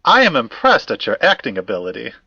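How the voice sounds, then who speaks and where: A man's voice: mid-range voice, wildly swooping pitch, quick pace, vocal fry, pushed and bright, slightly rough, dry recording, muffled recording, exaggerated voice. A man playing a formal, fussy academic in an audio drama, precise and wordy, with old-fashioned politeness. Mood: formal, measured. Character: formal, fussy, courteous male academic investigator.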